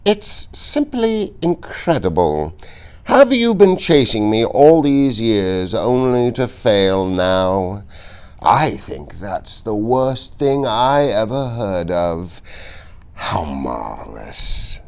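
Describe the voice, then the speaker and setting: A man doing a slow, sneering cruel count. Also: lowish voice, very animated, pitched above the performer's own voice, exaggerated voice. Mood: mocking. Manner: slow, drawling, mocking. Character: sneering aristocratic male count, cruel and cold.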